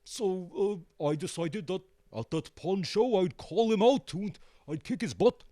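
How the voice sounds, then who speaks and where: Male band guest for comedy interview: slightly high, mellow voice, halting deadpan delivery. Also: no echo, clean studio sound. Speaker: band member, show guest. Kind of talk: comic in-character interview.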